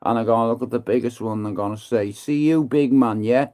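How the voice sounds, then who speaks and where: A man's voice: medium pitch, brisk. A man, living in Manchester, telling a rambling, profane story on a livestream and acting out his own lines to others. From Ireland. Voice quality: profane, slangy, calls everyone bro. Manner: animated, acts out his own threats and taunts in hushed voice. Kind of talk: casual storytelling monologue.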